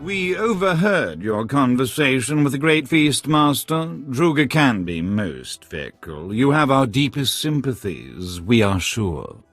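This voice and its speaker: A man's voice: medium pitch, big pitch swings, measured pace, few pauses, fairly bright tone, soft background music. A man voicing a polite, scheming alien courtier in a video game, formal and unctuous. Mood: unctuous, sly. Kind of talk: video game cutscene dialogue.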